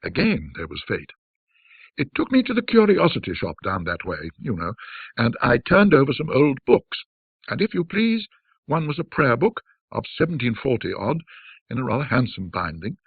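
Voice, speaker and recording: A man narrating a classic literary ghost story in a theatrical, measured storytelling manner with dramatic pauses. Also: mid-range voice, sing-song, vocal fry, husky, no room sound, narrow, tinny audio.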